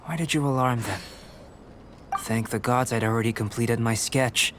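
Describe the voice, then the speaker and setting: An actor playing a calm, reserved young male artist in a video game, speaking in a composed and measured way. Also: mid-range voice, noisy background, natural voice. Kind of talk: video game cutscene dialogue.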